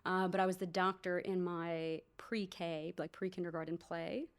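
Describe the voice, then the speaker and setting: A woman in her thirties from New Jersey with an American accent, a doctor and founder chatting as an interview guest, animated and full of asides. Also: lowish voice, moderate pace, clean tone. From United States, New Jersey. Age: 30s. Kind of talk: casual interview conversation.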